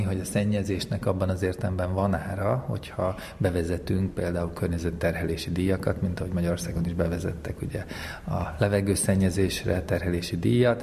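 A man's voice: sits low, warm tone. A man explains things as an interviewed economics lecturer, reflectively posing rhetorical questions. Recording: long reverberant hall echo.

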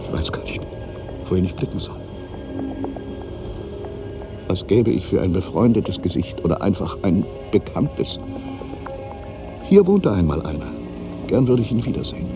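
A man's voice: extremely low pitch, full character voice. A man playing a bitter, anxious ageing writer who thinks aloud in a theatrical radio-play monologue, brooding and volatile. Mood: uneasy, dismayed. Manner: brooding, theatrical, from hushed muttering to agitated outbursts. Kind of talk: dramatic first-person monologue, radio play.

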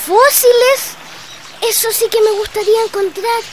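An excitable, eager little boy with a Chilean accent, talking in slangy bursts in a drama. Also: very high-pitched, normal speed, noisy background. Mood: excited, eager. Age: child.

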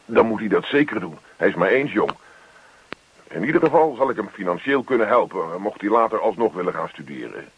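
An actor playing a grumpy, weary middle-aged husband in a naturalistic radio play, grumbling and sarcastic. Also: male voice, fairly low pitch, very animated, brisk, a little hiss or hum. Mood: defensive, weary. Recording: dull, dry radio play recording.

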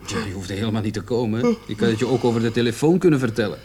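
An actor playing a brisk, sceptical policeman with a terse, questioning manner in a Dutch radio play. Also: lowish voice, expressive, warm tone, a little hiss or hum, natural voice. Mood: speculative. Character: brisk, sceptical police officer questioning, reporting to his sergeant.

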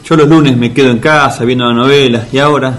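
Un actor argentino que interpreta en un radioteatro cómico a un cliente de café de barrio, reacio, tacaño y quejoso. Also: male voice, mid-range voice, steady tone, fairly quick, fairly bright tone, close to an everyday voice. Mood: grumbling, wry. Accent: Argentine, Rioplatense. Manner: complaining, colloquial. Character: reluctant, grumbling, penny-pinching neighborhood café regular. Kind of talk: comic radio play acting.

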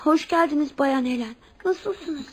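Very high-voiced timid schoolboy. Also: female voice, unhurried, a little mellow. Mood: polite. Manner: well-behaved, earnest. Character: timid, polite, obedient young schoolboy. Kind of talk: radio theater acting.

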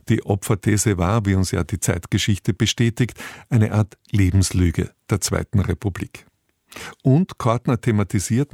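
A man giving a scripted, formal radio introduction in a measured, factual way, as a presenter. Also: lowish voice, mellow, no room sound, clean studio sound.

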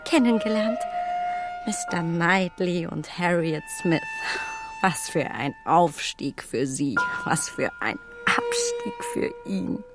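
Emma, slow-speaking, self-reproaching young gentlewoman. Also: fairly low pitch, very animated. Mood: self-reproachful. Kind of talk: radio play acting, inner monologue. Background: music.

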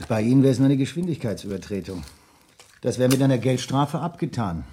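A man's voice: medium pitch, animated, fairly quick, dark tone, a little hiss or hum, natural voice. An actor playing a self-assured, evasive businessman in a 1970s radio crime drama, speaking formally and insistently. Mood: persuasive.

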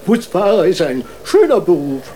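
An actor playing a deferential, worried court marshal in a children's radio play, halting, stammering and politely cautious. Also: medium pitch, big pitch swings, mellow, slight background noise, natural voice.

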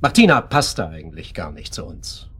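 A man's voice: low voice, very animated, moderate pace, a bit bright, breathy edge, exaggerated voice. An actor playing an impatient club member in a German radio drama, blunt and insistent as he presses his point.

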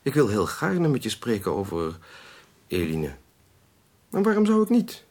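An actor in a Dutch radio drama playing a kind, patient young gentleman with a gentle, indulgent manner. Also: fairly low pitch, huge pitch swings, measured pace, heavily acted. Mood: earnest.

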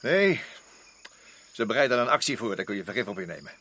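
An actor the playing a worried, hard-nosed garrison officer in a radio drama, urgent and emphatic, often repeating himself. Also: male voice, on the low side, sing-song, fairly quick, pushed and bright, full character voice.

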